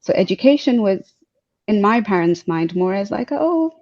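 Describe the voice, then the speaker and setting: A young woman from Tanzania speaks on a video call with a mellow, darkish tone. She talks hesitantly, full of fillers and restarts. The call audio is dull and lo-fi. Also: medium pitch, sing-song, normal speed. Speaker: guest speaker. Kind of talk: casual webinar interview.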